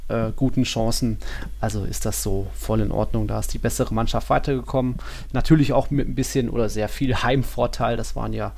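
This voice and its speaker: A man's voice: medium pitch, fairly even intonation, brisk, vocal fry, darkish tone. A man co-hosting a football podcast, giving casual, matter-of-fact analysis in long, colloquial sentences.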